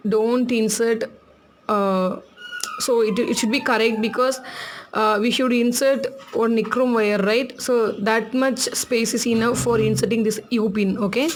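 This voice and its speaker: A woman's voice: a little high, steady tone, a little hiss or hum. Chatty Tamil-accented woman teaching crafts. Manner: chatty, laughs often, repeats instructions slowly. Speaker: craft tutorial host.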